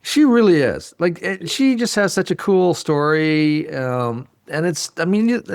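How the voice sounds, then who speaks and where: Higher-pitched man chatting on a podcast. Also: clean tone. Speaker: podcast host. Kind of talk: casual conversational podcast chat.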